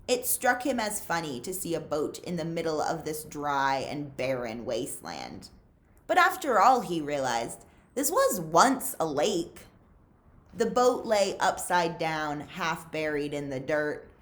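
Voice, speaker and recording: A woman reading a story aloud to young listeners in an expressive, deliberate, suspenseful storytelling manner. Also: low voice. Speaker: librarian reading aloud to children. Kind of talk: expressive read-aloud storytelling, pausing to ask listeners questions.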